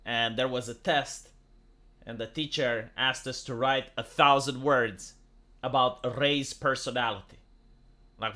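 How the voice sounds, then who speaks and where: A man's voice: mid-range voice, pushed and bright. A Romanian man with a Romanian accent giving a sarcastic, exasperated, opinionated commentary in casual speech. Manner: sarcastic, exasperated, with rhetorical tag questions. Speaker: YouTube commentator and streamer.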